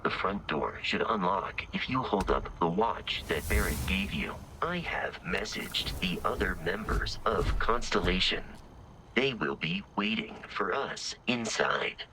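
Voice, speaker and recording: A man's voice: sits low, lively intonation, unhurried, background noise. A man voicing a polite, formal robot in a video game, speaking precisely and literally. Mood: calm, informative. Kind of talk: scripted video game dialogue.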